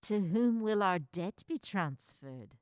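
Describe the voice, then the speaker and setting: Anxious young wife played by a woman, slow, expressive. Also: medium pitch, clean tone, no room sound, clean studio sound. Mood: guilty relief. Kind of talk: radio drama acting.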